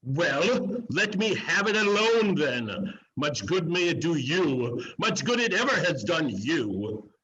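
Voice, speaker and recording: A man performs a grumpy old miser from an amateur dramatic reading. He is scornful and gruff, with slow, husky delivery that swings widely. The audio is a little dull. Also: a little high, sing-song, pushed and bright, heavily acted. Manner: gruff, curt, exclamatory. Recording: dull lo-fi video-call audio.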